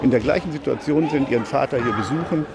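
A man's voice: mid-range voice, fast, vocal fry, over a noisy crowd. A man who leads a social support group, speaking in an interview. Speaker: social welfare group leader. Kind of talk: interview statement.

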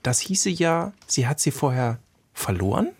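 A man from Franconia hosts a radio book conversation. His voice is husky, and he asks curious questions with a wry touch. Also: mid-range voice, very animated, measured pace. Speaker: radio host. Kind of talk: radio interview.

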